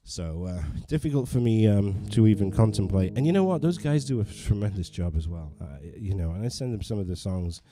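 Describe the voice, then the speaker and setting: A man's voice: on the low side, expressive, brisk, few pauses, crowd noise. A man hosting a casual livestream from his living room, rambling and self-deprecating, with many restarts as he chats with viewers. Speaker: livestream host and pianist. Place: living room, digital piano.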